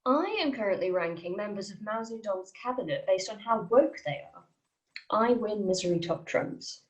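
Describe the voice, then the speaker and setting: A woman reading a sardonic, sharp-tongued new journalist in an amateur script read. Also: mid-range voice, animated, moderate pace, dull audio, natural voice.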